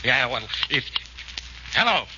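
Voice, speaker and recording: An actor playing a gruff, impatient police inspector who blusters and barks in 1940s radio style. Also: male voice, medium pitch, very animated, slow. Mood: impatient, shouting. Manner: blustering, barking. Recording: noisy, muffled old radio recording.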